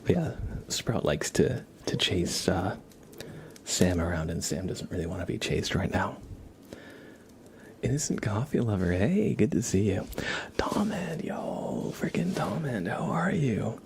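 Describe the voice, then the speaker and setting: A man doing casual ASMR live-stream chat in a soft, whispery, hushed voice, rambling informally. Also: lowish voice, very animated, a little slow, creaky, slight background noise.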